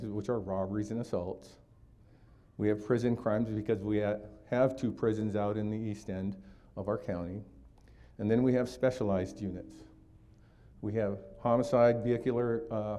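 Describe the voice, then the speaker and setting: A man's voice: lowish voice, dark tone. A man in his sixties from Hawaii, giving a community talk for a district attorney's office, explaining steadily with frequent fillers.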